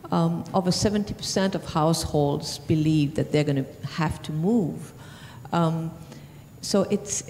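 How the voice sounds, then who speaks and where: A woman with a French accent answering as a panelist at a conference, measured and full of ums and restarts. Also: sits low, warm tone. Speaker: panelist from a regional organization. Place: large conference hall. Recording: distant hall reverb, faint noise.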